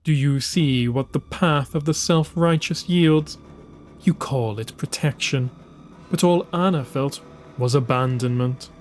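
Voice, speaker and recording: A man's voice: medium pitch, a little slow, close to an everyday voice. A man voicing a gloating, sinister witch queen with a smug, taunting manner in an audio drama. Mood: cold taunting.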